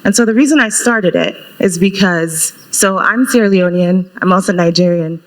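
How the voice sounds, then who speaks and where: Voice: mid-range voice, normal speed, rough recording. An organization founder raised in Brooklyn, speaking casually as a panel guest on a conference stage. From Brooklyn, United States. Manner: casual, relaxed, uses "like" often. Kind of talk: panel discussion answers.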